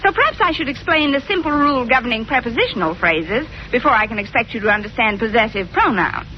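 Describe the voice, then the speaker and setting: Actress doing a dry witty american lady teacher, 1940s radio comedy, high animated voice, muffled old recording w audience. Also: quick pace, a little hiss or hum, lightly acted. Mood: brisk, instructive. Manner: dry, sardonic, crisply articulated. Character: wry, quick-witted female high school English teacher. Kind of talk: 1940s radio sitcom acting.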